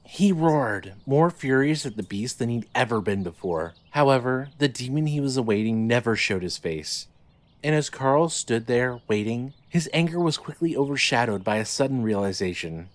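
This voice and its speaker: A man's voice: mid-range voice, lively intonation, moderate pace. A man narrating scripted fiction in a dramatic storytelling style. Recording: light noise, ambience behind.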